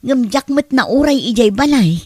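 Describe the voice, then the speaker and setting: A woman's voice: a little high, animated, moderate pace, a little mellow, no echo, studio quality. An actress playing a wary neighbor woman who nags about debts, in an Ilocano radio drama. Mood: exasperated.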